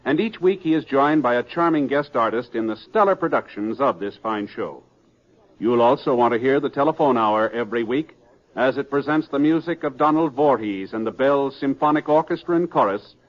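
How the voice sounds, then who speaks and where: A man with an American accent reading a scripted network promo as a formal, polished 1950s radio announcer. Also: medium pitch. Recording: dull vintage 1950s radio recording.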